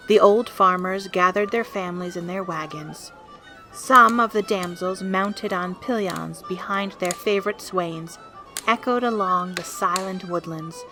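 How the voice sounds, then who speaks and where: A woman giving measured, scripted literary narration for an audio drama. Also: medium pitch, pushed and bright. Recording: clearly noisy background with clicks.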